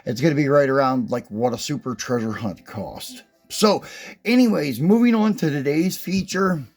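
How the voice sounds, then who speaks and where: A man hosting a casual die-cast car review, talking in a chatty, rambling, folksy way, slightly hoarse from a cold. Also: on the high side, moderate pace. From West Virginia. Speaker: die-cast car collector and channel host. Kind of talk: casual hands-on product review.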